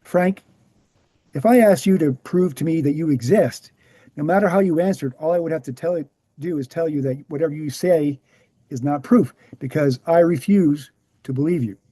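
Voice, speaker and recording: A man's voice: fairly high pitch, normal speed, dark tone, slightly rough, dry recording. A man reading the part of a confident, didactic explainer in scripted dialogue. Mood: assured. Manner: didactic, assured. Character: Gary, confident explanatory man debunking assassination myths. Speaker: actor in a screenplay table read.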